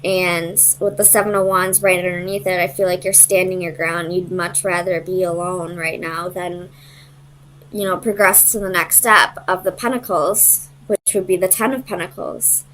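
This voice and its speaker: A woman's voice: fairly low pitch, level tone, moderate pace, fairly bright tone, slight background noise, rough recording. A woman giving a casual, conversational tarot reading, hesitant as she thinks aloud and searches for her words. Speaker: tarot reader.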